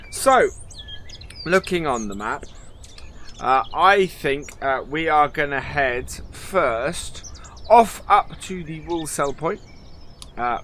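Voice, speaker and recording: A man's voice: a little high, sharp tone, slight background noise. A man casually commentating a farming game as he explores it, upbeat and full of ums and uhs. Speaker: gaming channel host.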